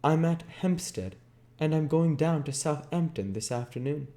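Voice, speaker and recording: A man's voice: a little high, expressive, moderate pace, mellow, voice pushed up. A man reading a novel, voicing a cool, curt young society woman on the telephone. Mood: brisk, cool. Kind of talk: acted dialogue in a novel reading.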